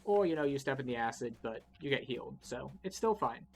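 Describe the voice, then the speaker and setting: A man's voice: medium pitch, animated, fairly quick, background noise. A man giving casual, wry, explanatory commentary as he guides a video game playthrough. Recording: game music behind the voice. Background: video game music.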